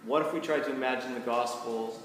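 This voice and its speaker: A man's voice: medium pitch, steady tone, a little fast, fairly bright tone. A man moderating an informal discussion in a wordy, deliberating way. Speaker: moderator prompting the speaker. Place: large reverberant room. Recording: distant in echoey hall, music behind.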